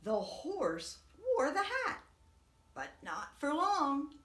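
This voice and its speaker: A woman, a preschool teacher, reading a picture book aloud to young children in an expressive, dramatic way. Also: high-pitched, very slow, slight background noise. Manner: expressive, dramatic whispers and swoops. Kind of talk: animated read-aloud storytelling for young children. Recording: echoey room.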